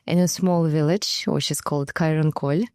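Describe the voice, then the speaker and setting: A woman's voice: deep voice, fairly even intonation, normal speed, darkish tone, smooth, dry recording, clean studio sound. A woman from Kazakhstan whose first language is Russian, speaking as an interview guest in a hesitant way, with many fillers as she searches for words. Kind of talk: conversational interview.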